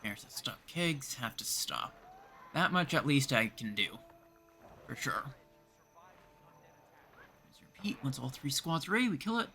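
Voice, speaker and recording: A man giving casual game stream commentary, thinking out loud as he goes. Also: medium pitch, sing-song, unhurried, pauses often, faint music behind. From Canada. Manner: mutters instructions aloud while reading, thinking out loud. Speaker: livestreamer.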